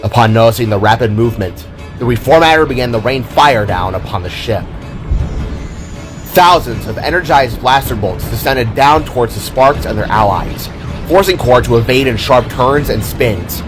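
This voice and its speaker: A man's voice: medium pitch, expressive, normal speed, over music. A man narrating a scripted audio drama in a dramatic storytelling manner.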